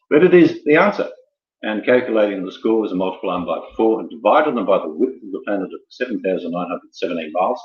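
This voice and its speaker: Low-voiced man hosting in an echoey room. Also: lo-fi sound. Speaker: quiz host. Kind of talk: informal live online quiz hosting.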